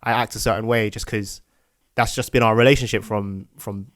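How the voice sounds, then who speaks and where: A man's voice: fairly low pitch, fairly even intonation, fairly quick, a bit bright, no echo, studio quality. A man co-hosting a podcast in an easygoing, casual chat. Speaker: podcast co-host.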